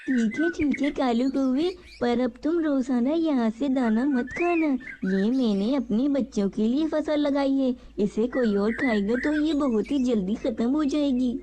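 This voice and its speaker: A woman's voice: high voice, measured pace, hardly any pauses, dark tone, slight background noise. A woman voicing a hardworking, trusting mother sparrow in a children's cartoon, speaking plainly and earnestly. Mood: polite, firm. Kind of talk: children's cartoon story dialogue.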